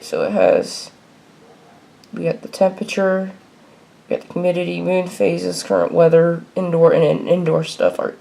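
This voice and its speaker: Low, creaky-voiced woman, casual and unscripted. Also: mellow, a little hiss or hum, low-quality recording. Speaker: video creator showing a radio collection. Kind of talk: casual unscripted show-and-tell. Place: indoors at home.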